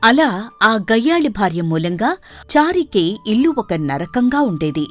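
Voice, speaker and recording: Animated woman storyteller, lively pitch. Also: a little slow, soft background music. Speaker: narrator. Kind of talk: scripted story narration.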